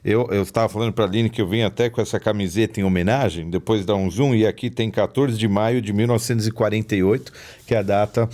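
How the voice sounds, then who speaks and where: Hesitant low-voiced Brazilian podcast host. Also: male voice, fairly even intonation, fast talker, darkish tone. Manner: hesitant, restarts often. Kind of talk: casual podcast chat.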